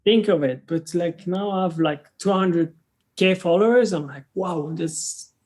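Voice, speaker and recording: French-accented young male guest, higher-pitched voice, searches for words as he talks. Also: a little mellow. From France. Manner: halting, restarts sentences often. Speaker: guest, travel content creator. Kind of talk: casual interview conversation.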